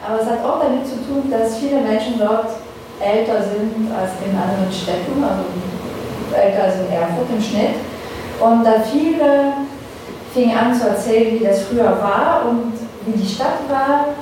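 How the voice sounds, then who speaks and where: A distant, very echoey recording of a woman with a fairly high voice. She speaks informally as an author addressing an audience at an indoor event. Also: normal speed. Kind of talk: informal public reading event talk. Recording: echoey distant room, clear noise.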